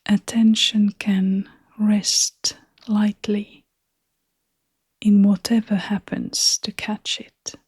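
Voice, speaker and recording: Female meditation guide: hushed and breathy, level intonation, unhurried short phrases with long gaps, very dry close recording. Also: medium pitch, flat delivery, no room sound. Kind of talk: guided meditation, soft-spoken.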